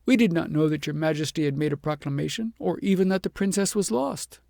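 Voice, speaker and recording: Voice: mid-range voice, expressive, fast, gravelly, mellow, no room sound, studio quality, pitched above the performer's own voice. A reader doing a humble pleading shepherd. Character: humble defensive shepherd, plain countryman.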